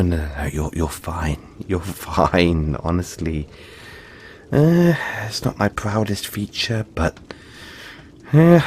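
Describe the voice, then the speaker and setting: Slow, low-voiced gentle yeti boy, played by a man. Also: a little hiss or hum, heavily acted. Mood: sheepish, friendly. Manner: soft-spoken reassurance, halting, polite and chatty. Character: huge, gentle, cuddly young male yeti monster. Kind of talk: intimate audio roleplay addressed to the listener.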